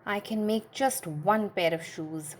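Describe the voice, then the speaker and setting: Woman playing a slow, earnest storybook shoemaker. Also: medium pitch, slight background noise, lightly acted. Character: poor, earnest, puzzled shoemaker, a man. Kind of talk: children's storybook read-aloud.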